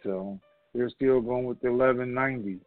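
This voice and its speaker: A man's voice: medium pitch, fairly even intonation, moderate pace, dry recording, soft background music. Man on a muffled phone line. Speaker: conference call host. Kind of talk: casual, rambling talk to a group call. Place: telephone conference call. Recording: muffled, narrow-band phone conference line.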